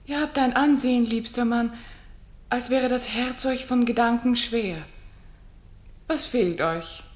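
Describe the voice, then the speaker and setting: A woman's voice: a little high, a little slow, lightly acted. An actress playing a gracious, teasing queen in a classical radio play, courtly and articulate, recorded in an echoey room. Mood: concerned.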